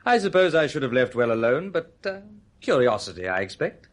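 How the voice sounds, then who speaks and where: An actor playing an urbane, teasing, over-polite man with a husky voice and an air of menace, in a radio play. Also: mid-range voice, big pitch swings, brisk, lightly acted. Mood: suave, teasing. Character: suave, teasing, quietly menacing male host who knows too much.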